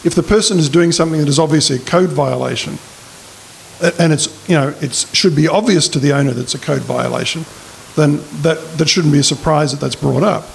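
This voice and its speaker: Gravelly Australian man at a lectern. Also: fairly high pitch, brisk. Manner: plain-spoken, hesitant restarts when answering questions. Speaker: political candidate. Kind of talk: candidate speech and Q&A at a public forum. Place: community hall with an audience. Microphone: stand mic at a lectern. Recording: faint hiss in a hall.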